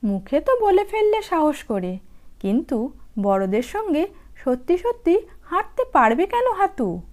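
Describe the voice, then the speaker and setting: A woman reading a children's story aloud as a storyteller, with expressive, unhurried, dramatic phrasing. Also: high-pitched. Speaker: storyteller, audiobook reader.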